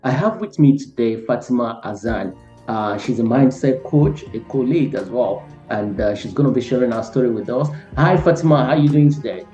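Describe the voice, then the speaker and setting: A man's voice: medium pitch, expressive, fairly quick, mellow, muffled recording, faint music behind. Earnest man hosting in an echoey room. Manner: earnest, pleading, repeats words. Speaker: host. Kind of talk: motivational interview intro.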